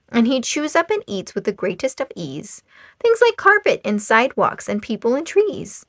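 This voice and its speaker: A woman reading a rhyming children's book aloud in a theatrical, expressive and playful storytime manner. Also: medium pitch, no room sound, clean studio sound. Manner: dramatic, expressive, playful emphasis.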